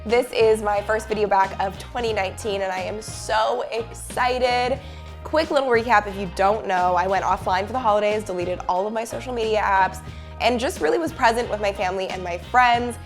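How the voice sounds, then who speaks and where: A woman in her thirties with a hoarse voice from a cold, talking upbeat and chatty straight to her viewers in a home vlog. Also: medium pitch, moderate pace, faint music behind. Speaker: YouTuber and business coach. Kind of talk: casual talking-head vlog.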